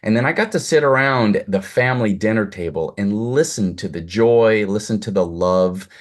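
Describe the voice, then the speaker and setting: A man's voice: lowish voice, expressive, normal speed. A man hosting an interview over a video call, effusive and full of compliments for his guest. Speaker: host, producer. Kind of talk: casual interview chat.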